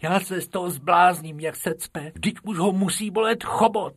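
A man doing a high whiny little rabbit boy. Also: breathy edge. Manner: high, whining, quick. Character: small excitable male rabbit, whiny.

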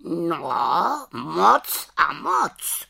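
A woman voicing a wise, stern male raven in an audiobook, speaking slowly and scolding. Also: on the low side, very animated, vocal fry, pushed and bright, husky, pitched above the performer's own voice, exaggerated voice. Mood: reproachful. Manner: slow, scolding and preachy. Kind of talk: audiobook character reading.

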